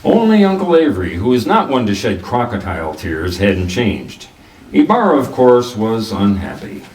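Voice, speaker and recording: A wry, hardboiled private detective played by a male actor in an old-style radio play, self-assured and swinging widely in pitch. The sound is roomy and a little away from the microphone. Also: wildly swooping pitch, moderate pace, darkish tone, slight background noise. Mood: wry narrating. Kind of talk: 1940s radio detective drama acting with first-person narration. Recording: roomy echo, distant from the microphone.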